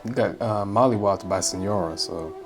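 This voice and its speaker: A man's voice: on the low side, normal speed, faint music behind. A man giving informal, wry gameplay commentary in casual slang as he reacts to a story. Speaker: gaming streamer reacting to a story quest.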